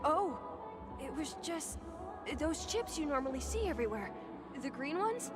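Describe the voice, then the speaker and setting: Game casting: starstruck young female guest, extremely high, swooping voice, flustered and eager. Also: sing-song, normal speed, music in the background. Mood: puzzled, hesitant. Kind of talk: video game voice acting.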